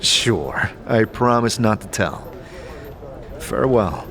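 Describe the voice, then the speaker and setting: A man giving dramatic scripted narration for a fantasy audio drama, speaking in a measured, deliberate manner. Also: lowish voice, expressive, a crowd in the background. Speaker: narrator and game protagonist. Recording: prominent music bed under the voice.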